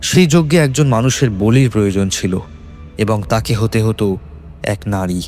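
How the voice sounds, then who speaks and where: A man with a Bengali accent narrates a horror tale in a fairly deep voice. His delivery is scripted, dramatic and suspenseful, with faint music underneath. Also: normal speed, darkish tone.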